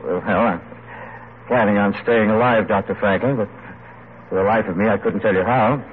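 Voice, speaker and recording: An actor playing a poor, proud, idealistic pamphleteer, wry and earnest, in an old radio drama. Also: male voice, on the low side, normal speed, phone-quality sound, natural voice. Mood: polite, earnest. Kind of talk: 1940s-50s radio drama acting. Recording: thin, noisy old radio recording.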